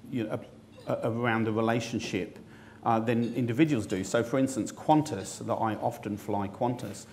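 Man, low voice, halting panel talk. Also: a little hiss or hum. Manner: hesitant restarts, animated asides. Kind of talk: panel discussion. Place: event studio with branded backdrop. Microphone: lapel mic.

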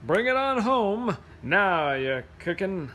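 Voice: mid-range voice, big pitch swings, slow, fairly bright tone, slight background noise. A person reading a picture book aloud to children, playful, with singsong rhymes, scat sounds and casual explanations.

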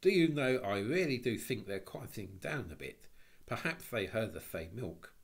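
A man's voice: lowish voice, very animated, moderate pace, breathy edge, voice pushed up, heavily acted. A man voicing a sensible, anxious schoolgirl in a storybook, earnest in manner. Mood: tired, sharp. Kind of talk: storybook reading.

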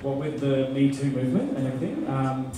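Middle-aged woman comic, deadpan and halting, recorded far off in an echoey club. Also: extremely low pitch, background noise. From Australia. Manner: deadpan, halting with many ums. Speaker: stand-up comedian. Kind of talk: stand-up comedy set. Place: comedy club stage before a live audience. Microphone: handheld mic, filmed from far in the crowd. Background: audience laughter.

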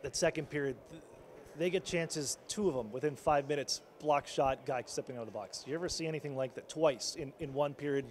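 A man's voice: on the high side, brisk, slight background noise. A man working as a team reporter, asking long, rambling postgame questions full of restarts.

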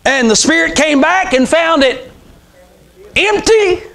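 A man in his sixties preaches in a strikingly high voice. His delivery is fervent and informal, like an unscripted church sermon. He repeats key phrases for emphasis and puts questions to his congregation. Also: lively intonation, takes it slowly, bright, forceful tone. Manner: emphatic, repetitive, calls out amens and rhetorical questions. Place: small church sanctuary, indoors. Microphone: clip-on lapel mic.

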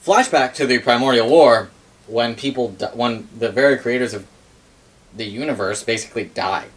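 A man's voice: mid-range voice, moderate pace. A young adult man telling a story casually and off the cuff in a wry, sweary manner, recorded in a living room by a camera a few metres away. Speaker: storyteller recounting game lore. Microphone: camera mic a few metres away. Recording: room recording with clear background noise.

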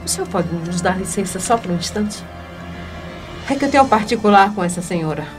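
An actress playing a righteous, defiant young woman in a Brazilian radio soap opera, measured and pointed. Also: mid-range voice, animated, music in the background, close to an everyday voice. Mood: polite, firm.

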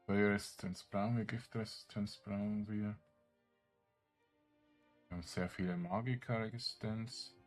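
Slow, very low-voiced man commentating gameplay. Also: steady tone, soft background music. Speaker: gamer, let's play host. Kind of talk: casual let's play commentary.

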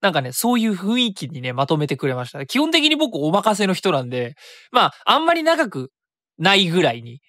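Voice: mid-range voice, wildly swooping pitch, fairly quick, fairly bright tone, no echo, clean studio sound. A radio host chatting casually alone, mixing polite speech with colloquial asides. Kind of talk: casual solo radio talk.

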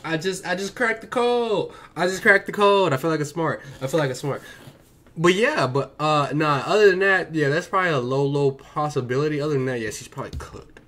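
A man's voice: a little high, fairly quick, pushed and bright. A young man reacting to a video from his bedroom, joking and slangy, speaking into a headset mic. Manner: joking, slangy, talks to viewers as "bro". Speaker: reaction streamer. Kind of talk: casual reaction commentary. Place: bedroom streaming setup.

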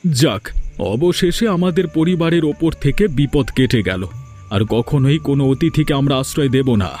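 Cartoon role: righteous village householder, male actor, highish voice, indignant and emphatic. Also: moderate pace, darkish tone, natural voice. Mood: relieved. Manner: scolding, emphatic. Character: indignant younger village householder, a husband, stern and scolding. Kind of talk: cartoon voice acting.

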